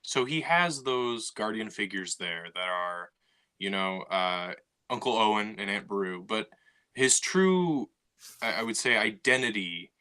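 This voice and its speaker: A man's voice: lowish voice, expressive, unhurried, pushed and bright, smooth. A man co-hosting a podcast, talking casually with many fillers and restarts as he thinks aloud. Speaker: podcast co-host. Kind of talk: casual discussion.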